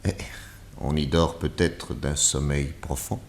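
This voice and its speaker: An actor playing a shrewd, calm male detective in a radio drama, speaking in a low, measured way. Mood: calm, probing.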